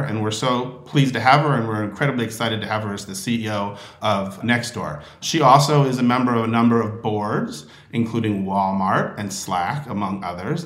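A man's voice: medium pitch, a bit bright. A man hosting an interview, talking in a friendly way with some fillers and restarts. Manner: friendly, slightly halting with fillers. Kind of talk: hosted interview. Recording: echoey room sound.

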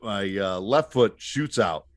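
A man's voice: fairly low pitch, expressive, slow, no echo. A middle-aged man telling stories as a podcast guest in a casual, easygoing, agreeable way. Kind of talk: casual anecdotal chat.

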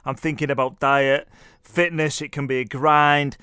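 A man's voice: medium pitch, little pitch movement, moderate pace, sharp tone, clean tone, no echo, clean studio sound. A man hosting a casual interview, asking chatty, friendly questions. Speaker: interview host.

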